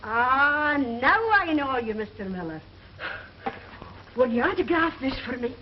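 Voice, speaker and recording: Slow, folksy 1930s woman. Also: sits high, expressive. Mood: star-struck. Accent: American. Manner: folksy, ungrammatical. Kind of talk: 1930s film comedy acting. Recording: muffled 1930s film soundtrack, faint hiss.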